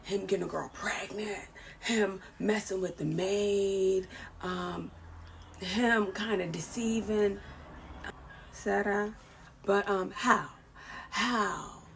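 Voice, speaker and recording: A woman's voice: medium pitch, vocal fry, slight background noise. A woman vlogger talking casually about a series, with many fillers and restarts, and asking viewers to correct her. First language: English. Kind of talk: casual talk to camera.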